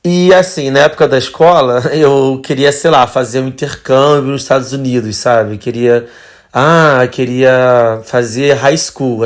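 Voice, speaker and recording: Relaxed Carioca man telling his story. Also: medium pitch. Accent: Carioca Portuguese. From Rio de Janeiro, Brazil. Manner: relaxed, conversational, with fillers and trailing phrases. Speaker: podcast guest, traveler. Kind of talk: casual personal storytelling.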